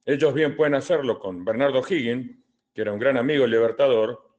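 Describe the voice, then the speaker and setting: Older male commentator, Argentine accent, mid-pitched voice; explains deliberately like a lecturer, repeating points for emphasis. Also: a little mellow. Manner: didactic, deliberate, emphatic with repetition. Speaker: retired colonel and political commentator. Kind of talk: spoken political commentary answering listener questions.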